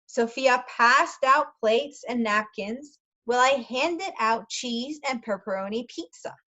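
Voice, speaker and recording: A woman reading a story aloud as a teacher, carefully pausing to explain words for language learners. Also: on the high side, fairly bright tone. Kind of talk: read-aloud storytelling with brief translations. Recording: dull lo-fi sound.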